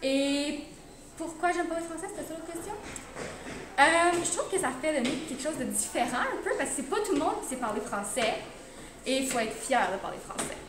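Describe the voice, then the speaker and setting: Quebec-accented woman interviewee, high voice, casual, echoey and noisy recording. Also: expressive, fairly quick. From Quebec, Canada.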